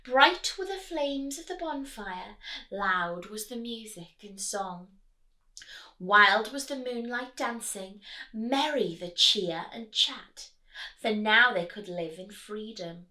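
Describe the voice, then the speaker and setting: A woman reading a picture book aloud to young children, expressively, sometimes dropping low and asking the listener questions. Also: slow, low-quality recording. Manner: expressive, drops to whispers, asks the listener questions. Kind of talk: animated read-aloud with chatty asides to young children.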